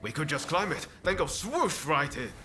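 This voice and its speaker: An excitable, cheeky samurai in a video game. The voice is slightly high and swoops eagerly with playful energy, over faint ambience. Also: male voice, moderate pace, background noise. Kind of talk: animated game cutscene acting.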